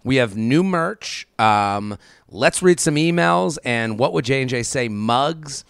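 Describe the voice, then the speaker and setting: A man's voice: mid-range voice, lively intonation, slow, gravelly, a bit bright, clean tone, no room sound, clean studio sound. A man co-hosting a podcast, chatting casually in a loose, rambling way. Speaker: podcast co-host. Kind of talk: casual chat.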